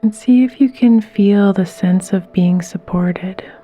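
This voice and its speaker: A woman leading a guided meditation in a soft, gentle, soothing way, with unhurried pauses and some breathiness. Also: medium pitch, soft background music.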